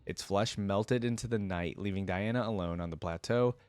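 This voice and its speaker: A man's voice: lowish voice, normal speed, darkish tone, smooth, no echo. A man hosting a reaction video, reading a fantasy story aloud and adding casual fan commentary.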